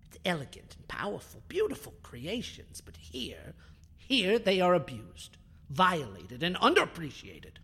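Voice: mid-range voice, sing-song, a little slow, vocal fry, a bit bright, husky, heavily acted. An actor playing a proud, bitter alien scientist in a sci-fi audio drama, speaking in a haughty, theatrical, precise and formal way. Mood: lecturing, wounded pride. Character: proud, bitter alien scientist who builds biohybrid creatures. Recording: music under the voice.

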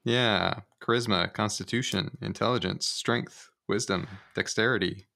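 Deep, gravelly, slow-talking man. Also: sharp tone. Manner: hesitant, thinking aloud. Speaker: player. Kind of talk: casual game chat.

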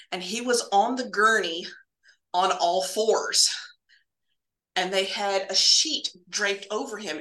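A woman telling casual, humorous stories as a podcast guest, animated and ready to laugh. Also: medium pitch, echoey room, poor audio. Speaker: guest, medical laboratory professional.

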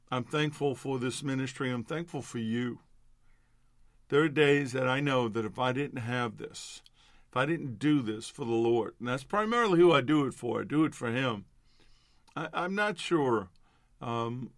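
A man's voice: medium pitch, no room sound, studio quality. An older man from New York leading an earnest devotional prayer as a minister, his voice nasal from allergies. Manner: earnest, building to fervent declarations.

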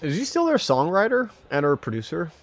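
Man chatting haltingly on a podcast. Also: a little high, wildly swooping pitch. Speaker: podcast co-host. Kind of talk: casual podcast banter.

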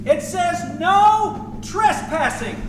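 Man playing a whiny, very high-pitched teenage son. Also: animated, drawn-out words, noisy background, full character voice. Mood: reluctant. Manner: complaining, protesting. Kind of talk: 1950s radio family comedy acting, performed live. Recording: echoey live stage recording.

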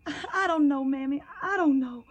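A woman's voice: sits high, moderate pace, dull audio, lightly acted. An actress playing an earnest, anxious young woman in a radio drama, pleading and hesitant. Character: earnest, anxious young Southern belle in love.

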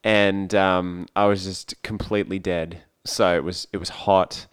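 Smooth-voiced podcast co-host. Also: male voice, deep voice, level tone, a little slow, no room sound, studio quality. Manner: easygoing, rambling storyteller. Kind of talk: casual chat.

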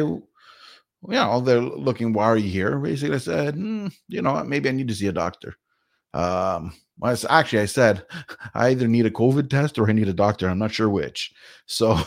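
A middle-aged man from Canada recounts his week in a casual vlog, his voice on the lower side. He rambles and circles back, leaning on fillers and restarts as he tells the story. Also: creaky. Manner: rambling, chatty, many fillers. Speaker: vlogger.

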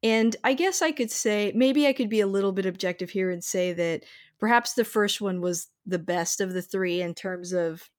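A woman's voice: mid-range voice, normal speed. A woman hosting a podcast, chatting casually and enthusiastically about films. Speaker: podcast host.

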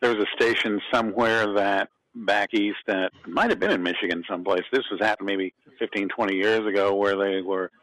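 A man's voice: fairly low pitch, fairly quick, a bit bright, low-quality recording. A man on a radio panel, speaking in a casual, conversational way. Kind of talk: casual chat.

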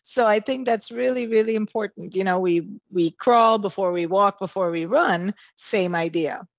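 A woman's voice: medium pitch, normal speed, creaky, no room sound, muffled recording. A woman hosts a podcast interview with a mid-range voice, encouraging and affirming her guest. Her sound is dry and close, slightly dulled like a remote call. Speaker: podcast host. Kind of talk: interview hosting.

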